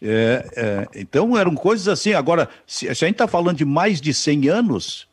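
A man's voice: fairly high pitch, big pitch swings, normal speed, slightly rough, no room sound, studio quality. A man with a southern Brazilian gaúcho accent, musing casually in conversation. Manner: musing, conversational, reads from a book. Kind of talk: casual chat.

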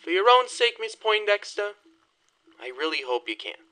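An actor playing a cool, menacing 1920s gangster who threatens in a low, measured way, in a horror audio drama. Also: male voice, very animated, noisy background.

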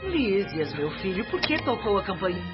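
An actress with a Brazilian accent playing a warm, good-humored, welcoming mother in a radio drama, with an affectionate, jovial manner. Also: mid-range voice, big pitch swings, moderate pace, lightly acted. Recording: dull radio recording, faint music.

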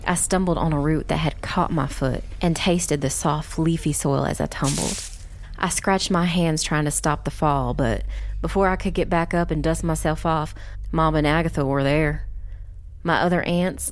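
A woman playing a young witch who narrates a dark fantasy audiobook in a grave, measured, dramatic way. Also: sits low, steady tone, natural voice. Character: young witch narrator recounting her family's ordeal, grave. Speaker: first-person narrator.

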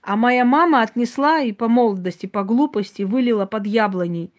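A woman's voice: fairly high pitch, brisk. Blunt, conversational woman. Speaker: host, self-described witch. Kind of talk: informal talk to live audience, answering comments.

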